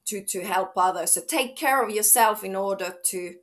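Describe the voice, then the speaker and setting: A woman's voice: mid-range voice, normal speed, fairly bright tone. A middle-aged woman from Finland, a fitness trainer speaking as an interview guest, outgoing and chatty with frequent restarts. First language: Finnish. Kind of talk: casual interview chat.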